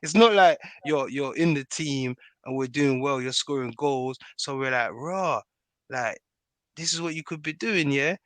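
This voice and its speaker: A man's voice: medium pitch, measured pace, a bit bright, dry recording. A man debating football casually, slangy and argumentative, often checking that listeners follow him. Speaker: football podcast panellist.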